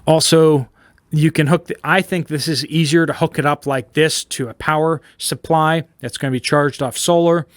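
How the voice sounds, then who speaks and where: An American man giving an informal explainer as a video host, in halting phrases with emphatic check-ins. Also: on the high side, steady tone, dry recording, studio quality. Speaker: host of a preparedness video channel. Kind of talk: informal explainer, product walkthrough.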